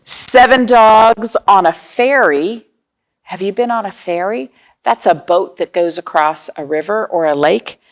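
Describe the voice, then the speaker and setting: A woman's voice: medium pitch, unhurried. A woman hosting a children's storytime, reading aloud, explaining things simply and asking the young listeners questions.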